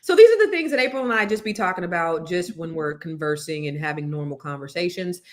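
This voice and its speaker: A woman's voice: on the low side, fast. A woman hosting a casual talk show, chatting and laughing often as she tells stories. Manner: laughs often, animated storytelling. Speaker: podcast host. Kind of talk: casual chat.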